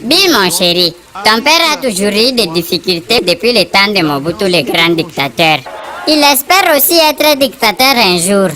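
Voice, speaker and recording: A woman's voice: medium pitch, animated, moderate pace, sharp tone. A woman voicing an affectionate but strict cartoon mother. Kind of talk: cartoon voice acting.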